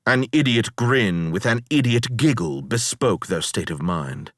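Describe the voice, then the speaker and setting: A man's voice: fairly low pitch, animated, measured pace, no room sound, clean studio sound. A man reading a first-person epic tale in a formal, solemn, dramatic manner. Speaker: narrator reading a story.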